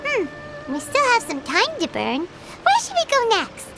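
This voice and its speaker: A woman voicing a tiny, childlike fairy companion in a video game, bubbly and excitable. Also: very high voice, a little slow, full character voice, rough recording. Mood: bubbly, curious. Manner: bubbly, exclamatory. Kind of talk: scripted game dialogue. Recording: loud music behind the voice.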